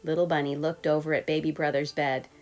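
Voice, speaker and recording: Low-pitched woman reading a children's story. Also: flat delivery, fairly quick. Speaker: storybook reader. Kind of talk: expressive read-aloud for young children. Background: soft background music.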